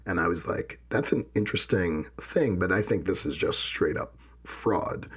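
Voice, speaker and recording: Man, very low voice, deeply gravelly and rough, casual podcast chat. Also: lively intonation, normal speed. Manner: personal, reflective, tells anecdotes. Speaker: podcast co-host. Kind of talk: casual conversation.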